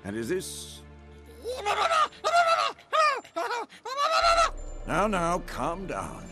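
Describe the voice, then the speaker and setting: An actor playing a weary old male warrior mentor in a fantasy game, speaking in a measured, wry, grumbling way. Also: on the high side, very animated, over loud music, full character voice. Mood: wry, curious. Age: older. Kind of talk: video game acting.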